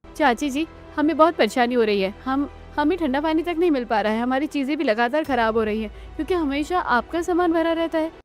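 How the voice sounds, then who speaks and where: A woman's voice: high-pitched, expressive, fairly quick, soft background music. A woman voicing an aggrieved young daughter-in-law in a cartoon, emphatic and dramatic. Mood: complaining.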